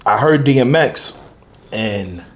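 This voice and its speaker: A man from Harlem with a New York accent, a rapper guest telling his story in a laid-back, relaxed way in a bakery. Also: mid-range voice, takes it slowly. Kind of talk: casual interview talk.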